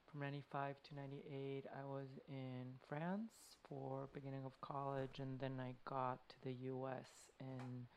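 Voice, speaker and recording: A man from Serbia speaks as a podcast guest, his voice gravelly and a little slow to find words. He pauses often with ums. A faint hiss sits behind him. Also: medium pitch, flat delivery, low-quality recording. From Belgrade, Serbia. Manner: hesitant, thoughtful with ums. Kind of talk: casual podcast chat.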